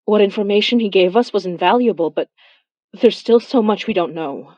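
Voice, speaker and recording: A woman playing a weary, affectionate young monster hunter confiding haltingly over a phone call in an audio drama. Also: medium pitch, dark tone, no echo, lightly acted. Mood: grimly determined. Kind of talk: intimate audio drama monologue.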